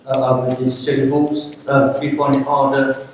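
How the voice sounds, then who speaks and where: A man in the audience asking a question from far across a very echoey hall. he speaks at a middle pitch and stumbles with uhs. faint noise sits under the voice. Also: monotone, moderate pace. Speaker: audience member.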